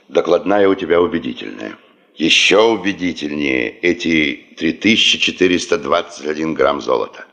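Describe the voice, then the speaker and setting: An actor in a Soviet radio play as a cautious, weighty senior male official, probing, measured and authoritative. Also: a bass voice, gravelly, a bit bright, heavily acted. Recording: dull, band-limited old radio recording.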